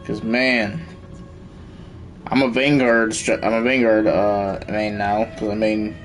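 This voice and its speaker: A man's voice: on the low side, flat delivery, slow pace. A man chatting casually as a game streamer, slangy and laid-back. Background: faint game music.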